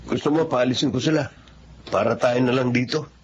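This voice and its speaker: A man's voice: mid-range voice, a little fast, dull audio, a little hiss or hum, close to an everyday voice. A man playing a brash, evasive young boyfriend in a Filipino radio drama. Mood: smooth-talking, cocky. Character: brash, defensive young man courting a schoolgirl.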